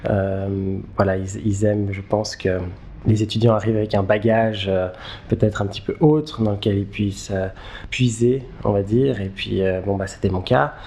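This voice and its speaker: A man, giving halting, conversational interview answers and repeating words as he thinks. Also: fairly low pitch, dark tone, a little hiss or hum. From Geneva, Switzerland. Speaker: interview guest, filmmaker.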